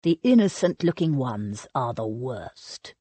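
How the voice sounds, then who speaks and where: A woman voicing a brooding, teasing aristocratic husband with a slow, dark drawl. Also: very deep voice, sing-song, husky, no echo, pitched below the performer's own voice, full character voice.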